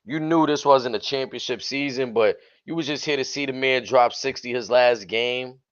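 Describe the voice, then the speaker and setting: A man co-hosting a casual wrestling talk stream, his voice a bit hoarse and raspy from yelling, with relaxed slangy banter. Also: medium pitch, moderate pace, no room sound. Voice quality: slightly raspy. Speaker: wrestling show co-host. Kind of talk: casual livestream chat. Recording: dull dry lo-fi stream audio.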